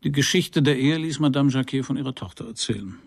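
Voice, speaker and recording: Laconic detective, gravelly, close dry sound, wry and polite, radio crime play narration and dialogue. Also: male voice, medium pitch, quick pace, no echo. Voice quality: gravelly, husky. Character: male Parisian private detective, laconic, dry and courteous. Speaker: detective and first-person narrator.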